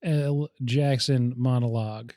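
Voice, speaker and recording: A young man hosting a casual movie podcast, chatty and quick to laugh, keen on film history. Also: mid-range voice, fairly even intonation, dark tone, no room sound, studio quality. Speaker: podcast host.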